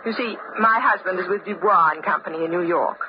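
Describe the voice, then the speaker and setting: A woman's voice: lowish voice, fairly quick, close to an everyday voice. A woman acting a chatty, genteel married lady in an old-time radio drama, with a polite, effusive manner. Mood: confiding, fluttery. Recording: dull, noisy old radio recording.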